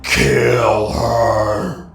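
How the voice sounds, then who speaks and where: A man's voice: mid-range voice, little pitch movement, extremely slow. A man voicing a menacing, depraved video game character who gives orders in a horror audio story. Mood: savage commanding. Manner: commanding, sinister. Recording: music behind the voice.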